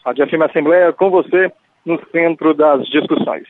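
A man's voice: a little high, normal speed. A man with a Brazilian Portuguese accent reporting live from the scene and interviewing a nurse. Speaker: radio field reporter. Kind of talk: live radio field report. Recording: tinny phone-line sound.

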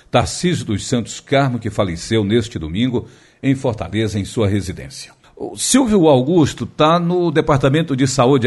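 A man's voice: lowish voice, darkish tone, breathy edge. A man with a Brazilian Portuguese accent presenting radio news and handing over to a reporter. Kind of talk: news reading.

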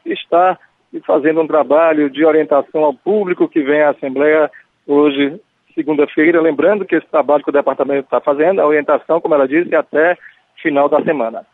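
A man's voice: fairly high pitch, fairly even intonation, brisk, dry recording. Male field reporter on a phone line. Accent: Brazilian Portuguese. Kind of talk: live radio field report. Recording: tinny phone-line sound.

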